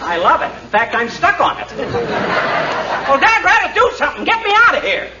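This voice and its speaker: An actor playing a blustering, exasperated husband who grumbles and wisecracks in a 1940s radio comedy. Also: male voice, extremely high pitch, huge pitch swings, normal speed, a loud crowd, heavily acted. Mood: exasperated, wisecracking. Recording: noisy, dull 1940s radio recording, distant.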